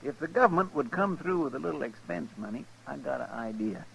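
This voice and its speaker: An actor playing an eager, naive deputy, folksy and deferential, with a drawling rural American accent in a 1950s radio western. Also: male voice, medium pitch, animated, fairly quick, creaky, fairly bright tone, husky, full character voice, rough recording. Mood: eager, earnest.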